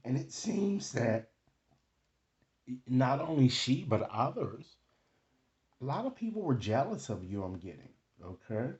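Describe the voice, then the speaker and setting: A man hosting an improvised tarot reading, speaking to viewers in halting phrases and often checking in with short tag questions. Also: mid-range voice, very animated, darkish tone, lo-fi sound. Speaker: tarot card reader, online host.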